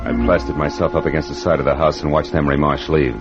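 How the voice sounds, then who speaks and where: An American actor playing a tough, wisecracking private detective in old radio drama, speaking in clipped, sardonic slang. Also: male voice, very deep voice, flat delivery, fast talker, music in the background. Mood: cool, narrating. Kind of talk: 1940s-50s radio drama acting, hard-boiled narration. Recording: dull noisy vintage radio recording.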